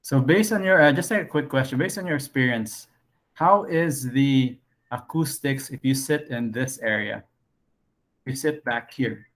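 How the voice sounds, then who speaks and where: A man's voice: measured pace, fairly bright tone. A man teaching an online design class in a casual way, thinking aloud with frequent fillers. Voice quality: low voice.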